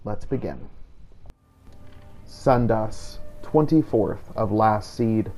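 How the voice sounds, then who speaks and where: A man's voice: on the low side, drawn-out words, warm tone, faint music behind. A man hosting a roleplay game series, narrating in a scripted, first-person journal style. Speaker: gameplay series host and narrator.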